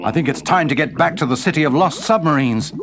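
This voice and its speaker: A man voicing a friendly, excitable talking submarine in a children's story. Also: on the high side, quick pace, a crowd in the background, voice pushed up. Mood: urgent. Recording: music behind the voice.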